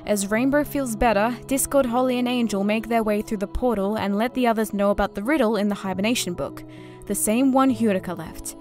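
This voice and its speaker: A woman reading scripted narration that recaps a fantasy story. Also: medium pitch. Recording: music under the voice.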